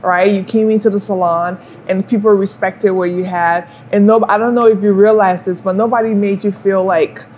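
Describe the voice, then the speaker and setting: A woman's voice: medium pitch, fairly even intonation, normal speed, few pauses, slight background noise. A woman from Liberia, a hairstylist, talking casually about her work while styling hair, with many "you know"s. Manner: warm storytelling with fillers and rhetorical "you know".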